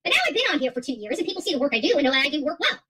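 A woman's voice: high voice, sing-song, rapid-fire, bright, forceful tone. A middle-aged woman chatting casually to livestream viewers as host, emphatic, repeating herself and rambling. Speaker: livestream creator and channel host. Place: small furnished room at home, indoors.

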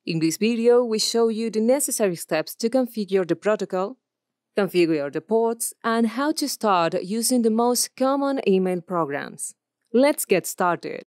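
A woman's voice: medium pitch, darkish tone, no echo, clean studio sound. A woman from Argentina with an Argentine Spanish accent, a native Spanish speaker, reading scripted commercial and e-learning narration as a voice-over artist.

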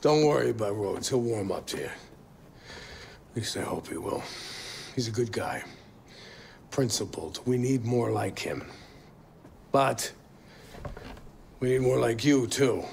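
A man's voice: medium pitch, animated, slow pace, vocal fry, long pauses, a little mellow, a little hiss or hum. A man playing a wry, world-weary middle-aged survivor in a video game drama. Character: weary, wry, pragmatic middle-aged male settlement ally.

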